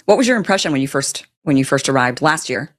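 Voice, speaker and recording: A woman's voice: rumbling low, lively intonation, fast talker. A woman hosting an interview, asking questions in a casual, conversational style. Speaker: interviewer, host.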